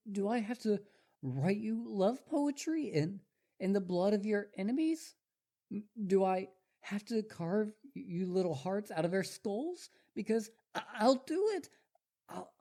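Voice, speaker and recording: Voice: mid-range voice, mellow. A performer voicing an obsessive, devoted young male wolf boy in a roleplay audio drama, stammering and eager. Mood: desperate pleading.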